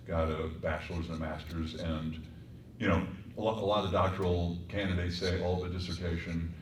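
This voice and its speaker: A man from Colorado with a very deep voice, a voice actor and composer telling wry stories on a stage panel. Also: darkish tone. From Colorado, United States. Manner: storytelling, wry, reflective. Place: performing arts center stage. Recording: echoey hall, distant mic, faint hum.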